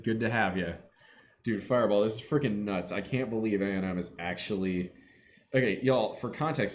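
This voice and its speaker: A man chatting casually to his live stream from home, slipping into sudden excited yelling at a football game. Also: fairly low pitch, a little hiss or hum. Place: home room.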